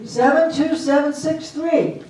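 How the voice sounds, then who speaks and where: A woman playing a capable, patient wife in a comic readers theater, deliberate and no-nonsense. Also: high-pitched, fairly even intonation, warm tone. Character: Janet, patient, brisk, capable wife of befuddled husband. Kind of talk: readers theater comedy acting. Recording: echoey hall, far from mic.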